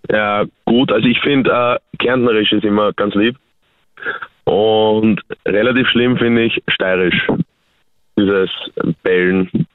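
Low-voiced guy chatting on radio, dry, sounds like a tinny phone line. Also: slow pace, no room sound. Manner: relaxed, hesitant with fillers, joking. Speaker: radio show co-host. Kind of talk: casual radio chat. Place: radio studio.

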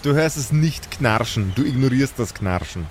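A man's voice: medium pitch, expressive, normal speed, slight background noise. A man running a tabletop role-playing game as game master, narrating in a wry, amused way.

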